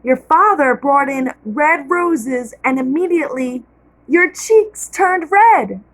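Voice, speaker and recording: A woman reading aloud and voicing a loving, gentle mother talking to her young daughter. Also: high voice, lively intonation, takes it slowly, full character voice, rough recording. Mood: tender. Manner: soothing, affectionate.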